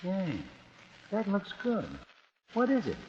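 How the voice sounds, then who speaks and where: An actor playing a nervous, plain-spoken dinner guest who stammers, in 1940s radio acting. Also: male voice, high voice, very animated, takes it slowly, darkish tone, breathy edge, heavily acted. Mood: nervous, uneasy. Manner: hesitant, stammering, folksy. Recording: dull lo-fi old radio recording with faint hiss.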